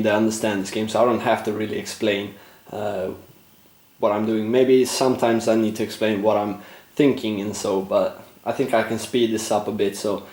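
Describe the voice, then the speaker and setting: A man narrating a board game play-through, explaining rules and thinking aloud with frequent fillers, his voice going slightly hoarse. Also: on the low side, a little mellow, echoey room. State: losing his voice, clearing throat. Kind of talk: casual gameplay commentary.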